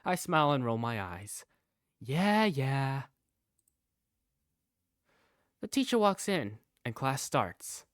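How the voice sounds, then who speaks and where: A man voicing a curious, self-doubting high school student who narrates a visual novel fandub in the first person. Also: big pitch swings, measured pace, no echo, clean studio sound. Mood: reflective.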